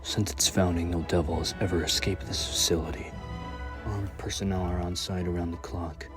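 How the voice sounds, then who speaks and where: Anime fandub: actor for a deep-voiced government devil hunter, unbothered and matter-of-fact. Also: male voice, little pitch movement, moderate pace, music in the background, lightly acted. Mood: cool, detached. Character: cool, detached young male government devil hunter.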